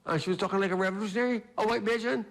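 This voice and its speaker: A man with a Barbadian accent and a slightly raised voice. He speaks earnestly and forcefully in an interview, repeating words for emphasis. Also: high-pitched, fairly even intonation, very fast, vocal fry, faint music behind. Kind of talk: opinionated interview.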